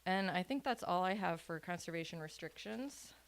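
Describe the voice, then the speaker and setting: Lady walking a meeting thru the steps, calm and methodical, smooth clear voice. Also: lowish voice, moderate pace. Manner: methodical, lists points with fillers. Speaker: presenter on conservation law. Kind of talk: informational talk at a public meeting.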